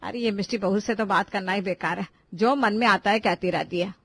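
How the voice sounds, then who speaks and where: A woman voicing a bossy, fussy middle-aged mother-in-law in a cartoon family drama, nagging and quick to take offence. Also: mid-range voice, fairly bright tone. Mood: fussy, annoyed. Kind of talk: animated family drama voice acting in Hindi.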